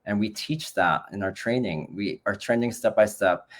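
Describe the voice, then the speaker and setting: A man in his forties, born in the Philippines, speaking on a video call about his online business in a relaxed way, with many fillers and restarts. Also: lowish voice, normal speed. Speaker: guest business partner. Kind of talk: casual online business chat.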